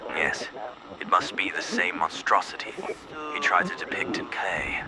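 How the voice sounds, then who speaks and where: An actor playing a stern, troubled older male archaeology professor in an audio horror drama, gruff, suspicious and intense. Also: low voice, expressive, unhurried, noisy background. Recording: loud music bed behind.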